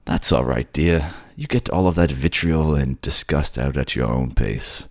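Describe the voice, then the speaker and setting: A man puts on a suave merman king for an audio romance. He is indulgent and courtly, with gentle teasing. The voice is low and gravelly, recorded close and dry. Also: a little slow, no echo, heavily acted. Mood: earnest, soothing. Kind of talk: romantic audio roleplay acting.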